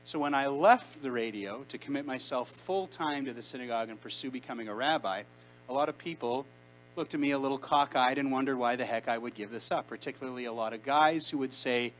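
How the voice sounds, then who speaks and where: Young rabbi preaching from the bimah. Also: male voice, medium pitch, a bit bright, slight background noise, poor audio. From Canada. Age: around 30. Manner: conversational, emphatic preaching with deliberate pauses. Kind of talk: sermon. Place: large synagogue sanctuary. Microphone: handheld mic, far camera.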